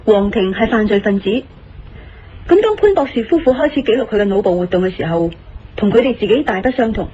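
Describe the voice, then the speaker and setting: An actress playing a sharp, confident woman who reasons things out deliberately in a Cantonese audio drama, with a Hong Kong accent. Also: mid-range voice, expressive, a little hiss or hum, natural voice. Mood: assured, probing. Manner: deliberate, didactic, assured. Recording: slightly muffled radio drama, music underneath.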